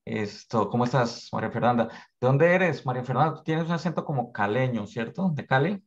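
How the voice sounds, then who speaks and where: A man's voice: medium pitch, lively intonation, brisk. A man with a Colombian accent hosting a casual interview, often repeating words as he goes. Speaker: interviewer, exam preparation tutor. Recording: dull, lo-fi audio.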